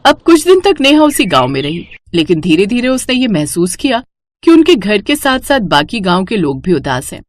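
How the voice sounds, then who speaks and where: A woman narrating a scripted cartoon moral story in an expressive storytelling manner. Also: medium pitch, normal speed.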